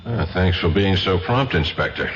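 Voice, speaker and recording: An actor playing a brash, stubborn American investigator who talks in an insistent, wisecracking way. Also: male voice, sits low, animated, moderate pace. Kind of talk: 1970s radio drama acting. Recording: dull lo-fi vintage radio, faint hiss.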